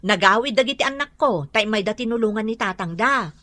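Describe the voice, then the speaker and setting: A woman's voice: a little high, a little fast, bright, forceful tone, no room sound. An actress playing a gossiping middle-aged village woman, insistent about her news. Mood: gossipy. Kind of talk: radio drama acting.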